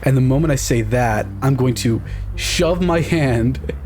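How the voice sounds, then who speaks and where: Mid-pitched man playing a tabletop game. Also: measured pace, darkish tone, slight background noise. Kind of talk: casual game table talk.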